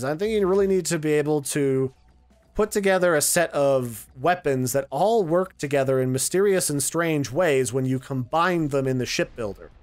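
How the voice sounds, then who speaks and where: A man giving casual gameplay commentary as a video host, with colloquial, playful slangy phrasing. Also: fairly high pitch. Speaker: video game reviewer and YouTube host.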